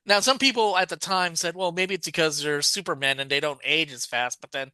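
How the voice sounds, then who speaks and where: A man's voice: fairly high pitch, fairly quick, bright, forceful tone, clear, resonant tone, no room sound. A man co-hosting a podcast, chatting casually and rambling with lots of fillers and self-corrections. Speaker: podcast co-host. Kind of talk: casual chat about a TV show.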